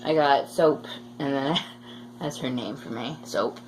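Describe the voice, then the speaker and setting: A woman's voice: extremely low pitch, takes it slowly, darkish tone, rough recording. A young woman rambling through casual stories with a playfully dramatic streak. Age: teen or young adult. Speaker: vlogger telling school stories. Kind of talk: casual storytime chat. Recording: faint background noise.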